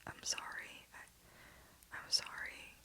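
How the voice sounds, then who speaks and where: A woman's voice: slow, bright, forceful tone. A woman whispering the part of a frightened young woman pilot who swears and panics. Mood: sobbing. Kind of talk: whispered ASMR storytelling.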